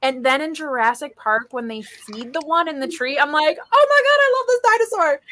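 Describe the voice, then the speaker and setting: Female host for an informal book-club live chat, clearly bright tone. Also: high-pitched, expressive, a little fast. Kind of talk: casual group chat.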